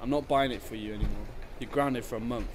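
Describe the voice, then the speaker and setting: A man's voice: medium pitch, fairly even intonation, fast talker, close to an everyday voice. A man playing a firm, scolding father in a radio drama. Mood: stern. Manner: firm, reproving. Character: stern, scolding father of a young boy. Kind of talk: amateur radio drama acting. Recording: slight background noise.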